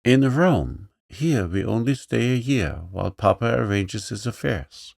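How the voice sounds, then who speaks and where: A man's voice: medium pitch, animated, a little slow, gravelly, a little mellow, no echo, clean studio sound. A man reading aloud, voicing an impetuous, bossy little girl who talks frankly and eagerly.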